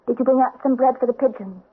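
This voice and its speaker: An actress playing an anguished, refined French countess in a vintage radio drama, speaking with emotional intensity. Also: female voice, high voice, moderate pace, breathy edge. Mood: troubled. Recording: tinny, noisy old radio recording.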